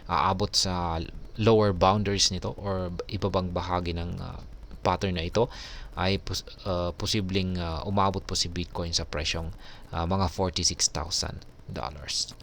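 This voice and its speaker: Deep-voiced Filipino man explaining news. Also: little pitch movement, measured pace, creaky, fairly bright tone, a little hiss or hum. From Philippines. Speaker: crypto news vlogger. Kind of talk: news explainer, alternating read-out article and commentary.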